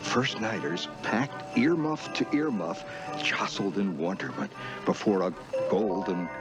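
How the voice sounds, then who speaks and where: Over loud film music, a wistful, grandiose narrator looking back on boyhood. His low voice savors every mock-epic phrase and swings widely through nostalgic comic film narration. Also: animated, a little slow, vocal fry, low-quality recording. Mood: wistful wonder. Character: grown man wryly recalling boyhood Christmas longing, grandiose and wistful.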